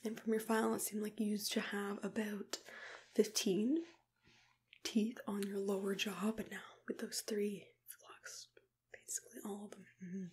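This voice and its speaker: A young woman in a soft, unhurried whisper, playing a gentle, reassuring dentist in an ASMR roleplay, close to the mic. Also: medium pitch, little pitch movement. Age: young adult. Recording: clear background noise and room ambience.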